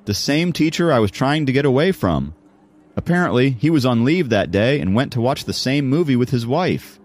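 A man's voice: mid-range voice, very animated, moderate pace, a little mellow, voice pushed up. A man voicing a proud, wry teenage schoolboy in an audiobook. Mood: amused storytelling. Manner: wry, boastful. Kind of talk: audiobook character voice.

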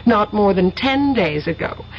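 A woman's voice: mid-range voice, lively intonation, measured pace, close to an everyday voice. An actress in a 1970s radio drama playing an affectionate, candid young woman with an earnest, teasing manner. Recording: dull, noisy 1970s radio recording.

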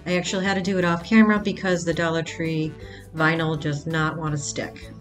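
A woman's voice: deep voice, flat delivery, a little fast, faint music behind. A woman hosting a craft channel, talking casually step by step through a project in a voice-over, with the odd filler. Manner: plain step-by-step explaining with fillers.

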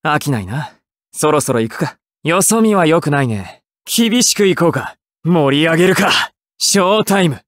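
Young anime game assassin, cool and mocking, lively swings in pitch, dry close sound. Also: male voice, normal speed, no echo. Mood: taunting, confident. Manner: detached, taunting, theatrical. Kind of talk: anime fighting game battle lines.

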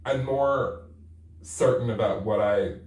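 A man's voice: lowish voice, takes it slowly, darkish tone. A man in his forties, a playwright being interviewed, talking in a thoughtful, reflective way with lots of "like" fillers while sitting in a bathtub. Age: 40s. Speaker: guest playwright. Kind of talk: candid interview talk. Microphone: far camera. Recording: echoey bathroom, mic at a distance.

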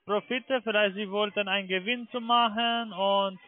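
Male call-centre caller, high voice, foreign accent, non-native German, halting delivery, tinny phone sound. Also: steady tone, no echo. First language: not German. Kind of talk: phone sales pitch. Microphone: telephone. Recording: tinny narrow phone line.

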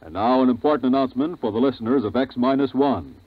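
A man's voice: mid-range voice, quick pace, no echo. A man with an American accent reading a network announcement in 1950s radio style. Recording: dry, tinny 1950s radio recording.

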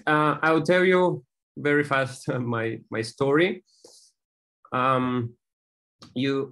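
Gravelly man with a Bulgarian accent. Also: medium pitch, fairly bright tone. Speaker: presenter, maker. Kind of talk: informal slide presentation. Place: indoors on a video call. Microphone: video call microphone.

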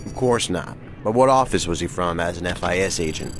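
A very low-voiced seasoned investigator. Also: male voice, animated, over music. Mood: measured, thoughtful. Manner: measured, reflective, terse. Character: seasoned, thoughtful male federal investigator. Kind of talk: audio drama acting.

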